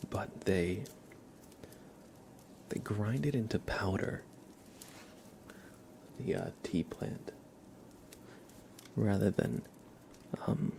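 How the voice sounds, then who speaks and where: A man hosting an ASMR livestream, chatting gently and informally in a soft, breathy voice that often slips into a whisper, close to the microphone. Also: deep voice, a little hiss or hum. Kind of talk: soft-spoken ASMR, casual live chat.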